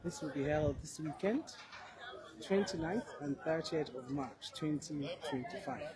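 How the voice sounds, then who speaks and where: A woman's voice: sits low, unhurried, low-quality recording. A woman from Nigeria who leads a church group, answering interview questions with confidence and enthusiasm. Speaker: church leader. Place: large outdoor expo venue. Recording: loud crowd behind. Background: crowd noise.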